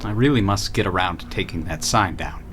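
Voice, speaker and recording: An actor playing a cold, suspicious tycoon ruler in a radio drama, speaking in a measured, imperious way. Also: male voice, low voice, a bit bright, a little hiss or hum.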